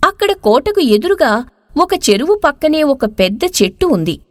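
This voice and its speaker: Woman narrator, high voice, lively melodic delivery, scripted storytelling, expressive, dry recording. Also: normal speed, no room sound.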